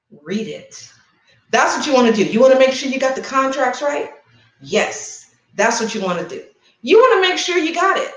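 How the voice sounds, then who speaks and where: Woman livestream host, chatty and playful, high voice, roomy echo. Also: fairly bright tone. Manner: chatty, playful, addresses viewers directly. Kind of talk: casual livestream chat with promotions.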